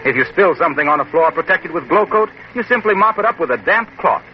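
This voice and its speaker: A man's voice: fairly high pitch, expressive, quick pace. A male announcer reading an upbeat commercial and introduction in a 1940s radio show. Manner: upbeat, selling. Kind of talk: 1940s radio ad read and narration. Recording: dull, hissy old radio recording.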